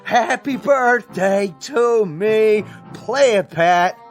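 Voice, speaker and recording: A man's voice: high-pitched, extremely slow. A man acting in a radio drama as a bitter, drunken husband, rambling, sarcastic and defiant. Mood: drunken singing out. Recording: studio radio drama with music behind. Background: music.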